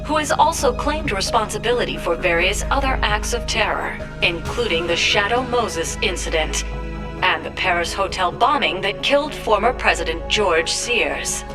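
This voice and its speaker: TV news reporter over music. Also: female voice, on the low side, sing-song, moderate pace. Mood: grave, urgent. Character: female TV news field reporter, grave and formal. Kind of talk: live news report acting.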